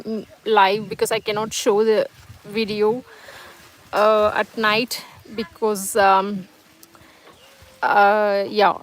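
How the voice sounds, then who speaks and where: A woman whose first language is Tamil talks slowly and gently to her live viewers, pausing often and restarting her sentences. Her voice sits at an ordinary pitch, and a faint hiss of noise runs under it. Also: low-quality recording. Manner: hesitant, many fillers and restarts, gentle and reassuring. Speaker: livestream host. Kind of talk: casual live-chat monologue, reading comments.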